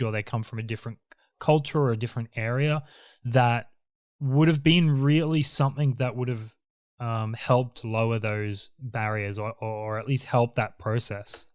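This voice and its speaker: A man's voice: mid-range voice, a little mellow, no echo, clean studio sound. A man hosting a design podcast, asking curious, long questions and listening with short murmurs. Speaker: podcast host. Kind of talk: interview host.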